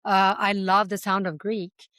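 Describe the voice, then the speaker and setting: A passionate woman guest talks freely in an interview, moving from point to point with everyday examples. Her voice sits at a middling pitch. The recording is dry and close, with no room sound. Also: little pitch movement, measured pace, bright, forceful tone, smooth, no room sound. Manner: speaks several languages. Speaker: language school founder, interview guest. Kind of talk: conversational interview answers.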